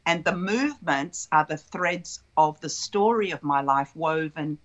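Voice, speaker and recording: A woman in her fifties with a notably low voice speaks in an unhurried, reflective flow, a guest sharing her life story. The sound is a little dull and lo-fi. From Australia. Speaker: guest, childbirth educator. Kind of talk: relaxed interview conversation.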